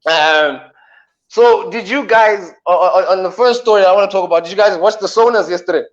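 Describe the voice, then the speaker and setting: A South African man with a deep voice, a radio co-host doing playful comic banter with drawn-out punchlines. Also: lo-fi sound.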